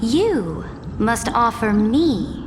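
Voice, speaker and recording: Scheming female sovereign: high, slow, commanding, regal game performance. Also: big pitch swings, background noise, heavily acted. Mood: imperious. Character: imperious, scheming young female sovereign and conqueror. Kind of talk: dramatic game voice acting.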